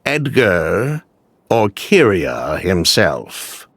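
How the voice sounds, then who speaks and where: A man playing a shifty, evasive, smooth-talking swindler in a video game. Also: low voice, huge pitch swings, very slow, fairly bright tone, no room sound, full character voice.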